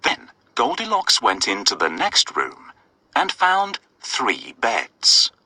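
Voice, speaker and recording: Very animated, bright-voiced male storyteller. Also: mid-range voice, slow, breathy edge, no echo, low-quality recording. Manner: expressive, addresses young listeners with questions. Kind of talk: scripted children's story narration.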